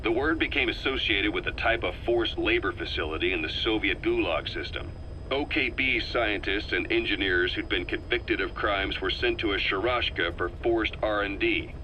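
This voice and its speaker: An actor voicing a worldly, self-assured military interrogator in a video game, wry and loyal, telling things in a measured, explanatory way. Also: male voice, lowish voice, bright, forceful tone, a little hiss or hum, poor audio. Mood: calm, explanatory. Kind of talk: scripted video game voice acting.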